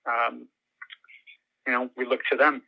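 A man's voice: mid-range voice, flat delivery, unhurried, creaky. Male American podcast guest, conversational and earnest, mid-range voice over a dull, phone-like connection. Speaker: podcast guest, journalist and political commentator. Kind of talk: conversational interview answers. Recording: muffled lo-fi phone-like line.

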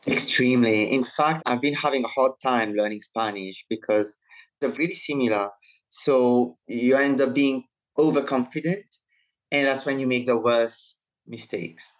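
A man born in Italy, speaking as an interview guest in a measured, reflective way. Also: mid-range voice, poor audio. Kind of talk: interview conversation.

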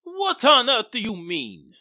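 A man's voice: extremely high pitch, wildly swooping pitch, takes it slowly, bright, forceful tone, no echo, studio quality, full character voice. A man playing an earnest, lovestruck suitor in a 1940s-style radio drama, theatrical and emphatic. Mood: indignant.

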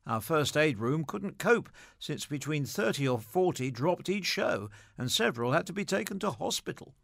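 A man's voice: on the high side, lively intonation, normal speed, vocal fry, a bit bright, no room sound, clean studio sound. A man presenting scripted material and reading anecdotes aloud.

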